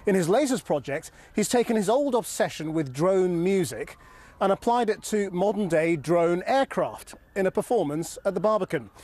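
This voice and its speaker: A man presents the news in a measured, scripted way and then asks interview questions. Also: high-pitched, darkish tone. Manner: measured, clear newsreader delivery.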